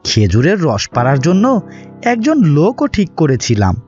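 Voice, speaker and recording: A man's voice: medium pitch, wildly swooping pitch, normal speed, darkish tone, faint music behind. A man voicing a fretful, kindly middle-aged village uncle in a fairy-tale cartoon, exclaiming and fussing on a dull recording. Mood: troubled.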